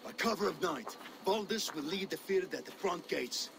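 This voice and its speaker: A grave and loyal Viking war captain for a game. The voice is high and heavily creaky, with dramatic delivery over noticeable background noise. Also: male voice, lively intonation, normal speed. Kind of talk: dramatic video game acting.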